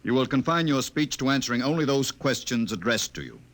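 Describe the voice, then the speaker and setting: An actor playing a haughty, domineering official in a 1950s radio drama, imperious, pompous and stiff. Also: male voice, mid-range voice, animated, fairly quick, fairly bright tone, lightly acted. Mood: disdainful. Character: haughty, domineering man, director of emotional control.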